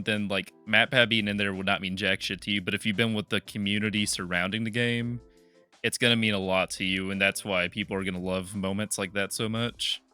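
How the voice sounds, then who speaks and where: A man's voice: lowish voice, bright, forceful tone, smooth. A young man co-hosting a podcast, laid-back and casual, swearing and laughing easily. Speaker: podcast co-host. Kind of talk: casual chat.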